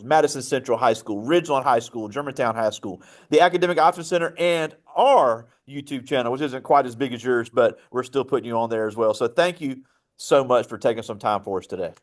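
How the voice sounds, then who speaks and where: A man hosting a conversational interview with a guest, speaking as a teacher in a high school classroom. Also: lively intonation, a little fast.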